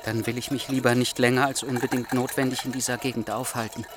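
An actor playing a sceptical, grumbling stranded train traveller in a radio play, dry and muttering. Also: male voice, mid-range voice, little pitch movement, fast talker, lightly acted. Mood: puzzled, grumbling. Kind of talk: German radio play dialogue and monologue. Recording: clearly noisy background.

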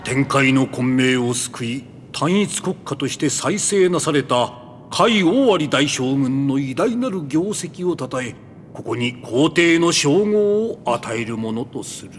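Solemn high priest, ceremonial, lively intonation, long reverb, faint rumble, anime drama. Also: male voice, slow. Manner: formal, ceremonial. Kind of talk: Japanese anime voice acting.

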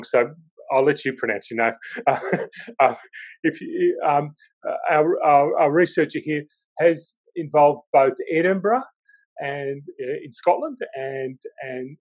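A man's voice: fairly high pitch, breathy edge. A man hosting a podcast interview, talking in a rambling, hesitant way with many restarts. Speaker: podcast host. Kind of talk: casual interview.

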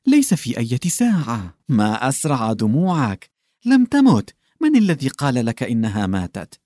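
A man's voice: mid-range voice, huge pitch swings, normal speed, dark tone, no echo, clean studio sound. A man voicing a tactless, bumbling old male official who fumbles, backtracks and stammers. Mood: flustered.

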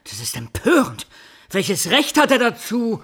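A man's voice: sits high, huge pitch swings, a little slow, sharp tone, husky, heavily acted. An actor playing a dissolute, boastful count and womanizer in a radio play, with an affected, effusive manner. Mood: pompous indignation. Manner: affected, effusive, self-indulgent. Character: dissolute, flippant Russian count, boastful womanizer, theatrically indignant. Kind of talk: radio drama acting.

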